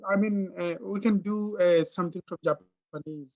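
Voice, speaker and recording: A man's voice: sits high, moderate pace, mellow, no echo, muffled recording. A man hosting a casual interview over a video call, hesitant and full of fillers. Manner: hesitant, many fillers and restarts. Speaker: host.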